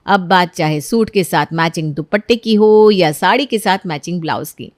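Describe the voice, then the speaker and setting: A woman narrating a scripted family story in an even storytelling manner. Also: on the low side, moderate pace, no echo, studio quality.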